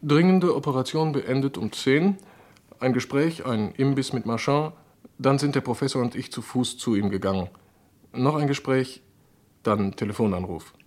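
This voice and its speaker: Actor as courteous, guarded gentleman. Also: mid-range voice, normal speed, close to an everyday voice. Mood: defensive. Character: evasive gentleman doctor, defensive yet courteous. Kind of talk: German radio crime drama acting.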